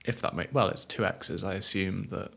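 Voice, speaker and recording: A man co-hosting a podcast in casual chat, speaking calmly and explaining things with dry jokes. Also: low-pitched, normal speed, vocal fry, slightly rough. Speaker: podcast co-host. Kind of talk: casual chat while tasting hot sauce.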